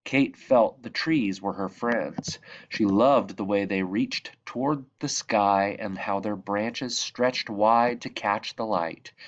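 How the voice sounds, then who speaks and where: A man reading a children's picture book aloud in measured phrases that drop softly at the ends of sentences. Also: fairly low pitch, dull audio. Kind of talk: read-aloud storybook narration.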